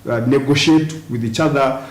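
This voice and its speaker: A man's voice: mid-range voice, steady tone. Man answering in a roomy studio. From western Uganda, near Congo and Rwanda borders. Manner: deliberate, frequent "uh" pauses and restarts. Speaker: panel guest. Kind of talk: studio panel discussion. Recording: roomy studio, faint hiss.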